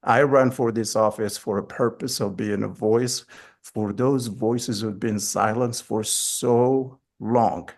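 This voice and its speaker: A man in his forties answers interview questions with an earnest, storytelling manner. His voice sits low and carries a gravelly edge throughout. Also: fairly even intonation, slow pace. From Morocco. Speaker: school board candidate.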